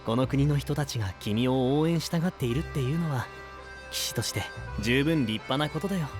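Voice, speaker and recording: A man playing a calm, gently serious young knight in an anime-style voice drama, measured and sincere. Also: medium pitch, over music, close to an everyday voice. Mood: reassuring.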